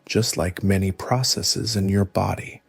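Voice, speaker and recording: A man narrating a scripted guided meditation, giving gentle instructions in a soothing, measured way. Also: low-pitched, steady tone, vocal fry.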